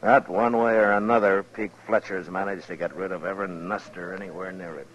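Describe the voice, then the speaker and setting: Guy playing old west marshal, real deep voice, calm n firm, few words, dull old radio recording. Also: natural voice. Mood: easygoing. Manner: laconic, measured, authoritative. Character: calm, firm, weary frontier marshal, grown man. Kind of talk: 1950s Western radio drama acting.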